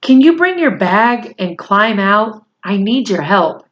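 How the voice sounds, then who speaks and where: A woman's voice: mid-range voice, big pitch swings, slow pace, fairly bright tone, lo-fi sound. A woman voicing an earnest boy in a storybook, pleading and reassuring with some urgency. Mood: reassuring, urgent.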